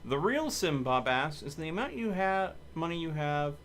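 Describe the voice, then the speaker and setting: A man's voice: on the high side, sing-song, normal speed, fairly bright tone, a little hiss or hum. A man hosting a live game stream, chatting casually and commenting on his play, with game music behind him. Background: video game music.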